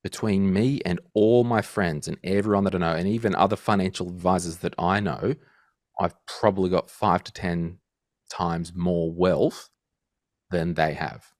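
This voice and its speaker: Guy in his 30s, very low voice, lively storyteller, dry close sound. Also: moderate pace, no echo. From Australia. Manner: animated storyteller, acts out quotes. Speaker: guest financial podcaster. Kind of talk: casual interview chat.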